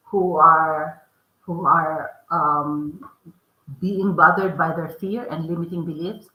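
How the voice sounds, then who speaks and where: A woman's voice: fairly low pitch, pushed and bright, echoey room, low-quality recording. A middle-aged woman from the Philippines with a strong Filipino accent, answering interview questions earnestly, often restarting her sentences. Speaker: podcast guest, author.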